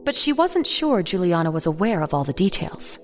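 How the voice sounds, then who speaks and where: A woman's voice: on the low side, very animated, a little fast, faint music behind. A woman reading scripted narration for an audio drama.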